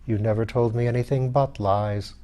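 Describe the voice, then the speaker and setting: A man's voice: medium pitch, fairly even intonation, moderate pace, dark tone, close to an everyday voice. A man voicing a cold, sardonic skeleton lady in an audiobook, with a dry, cutting, formally courteous manner. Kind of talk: audiobook character voice.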